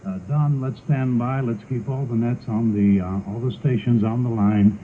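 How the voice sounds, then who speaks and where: A male 1960s network newsman speaking off the cuff, urgent and halting, on an old recording. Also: medium pitch, steady tone. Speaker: network news broadcaster directing coverage. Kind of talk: unscripted 1960s breaking news. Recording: phone-like, faintly noisy 1960s radio recording.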